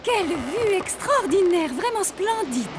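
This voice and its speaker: A woman voicing an excitable character in a spooky cartoon, with an exclamatory, theatrical delivery. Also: very high-pitched, measured pace, background noise, full character voice. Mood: delighted. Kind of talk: French animated cartoon dub.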